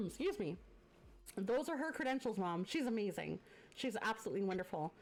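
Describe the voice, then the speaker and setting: A chatty woman in her thirties hosting a casual live stream. She talks loosely, full of "you know" and "um", and breaks into laughter easily. Her voice sits at a middle pitch. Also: animated, normal speed. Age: 30s. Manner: chatty, with frequent fillers and laughter. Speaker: streamer host. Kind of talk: casual chat. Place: home streaming setup.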